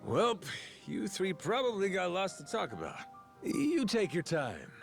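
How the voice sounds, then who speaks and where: Gravelly, animated, wry pirate captain. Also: male voice, high voice, a little slow, faint music behind, full character voice. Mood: wry, easygoing. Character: gruff, blunt, wry middle-aged pirate captain. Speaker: lead character, captain. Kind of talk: video game cutscene acting.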